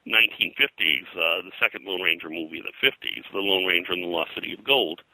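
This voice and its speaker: Older male interview guest, low forceful voice, rambling anecdotal historian. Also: quick pace, creaky, no echo, poor audio. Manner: rambling, digressive, hesitant.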